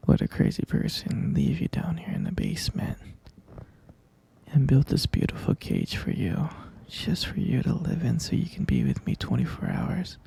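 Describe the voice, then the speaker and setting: A man playing a sweetly menacing, possessive boyfriend captor in a whispered ASMR roleplay, cooing and coaxing in a husky, halting voice. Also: mid-range voice, huge pitch swings, dark tone, heavily acted. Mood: insistent, defensive. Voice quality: breathy, husky whisper with gravelly creak.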